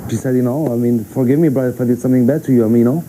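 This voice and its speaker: Fast-talking man from Morocco, dark tone. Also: medium pitch, fairly even intonation, a little hiss or hum, low-quality recording. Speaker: interviewee. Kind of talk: unscripted interview.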